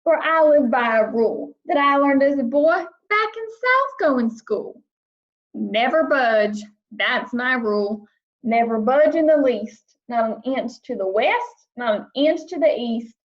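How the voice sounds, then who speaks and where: A woman voicing an obstinate, snappish male storybook creature with defiant, deliberate, drawn-out emphasis. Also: high-pitched, expressive, muffled recording. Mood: obstinate, boastful.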